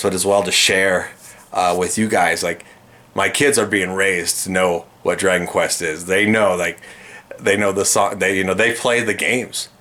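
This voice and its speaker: A man in his thirties rambles through an excited game review, full of restarts and praise. His voice sits low but sounds bright and forward. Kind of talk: casual enthusiastic review to camera.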